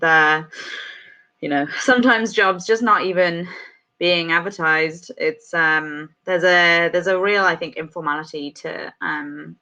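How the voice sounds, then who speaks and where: A woman hosting an online panel, thanking guests and hesitating with frequent ums. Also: on the low side. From United Kingdom. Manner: warm thanks, halting with many ums. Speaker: panel host.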